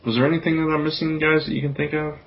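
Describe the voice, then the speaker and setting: A man's voice: fairly high pitch, little pitch movement, very fast. A man hosting a podcast in casual chat, talking in an informal, rambling way. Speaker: podcast host. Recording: dull, lo-fi podcast audio.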